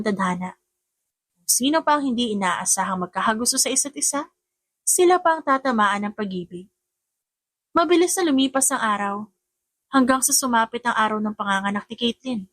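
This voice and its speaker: A Filipino woman narrates a scripted romance audiobook. Her voice sits on the high side, and the sound is very dry, with hardly any room to it. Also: a bit bright, no echo.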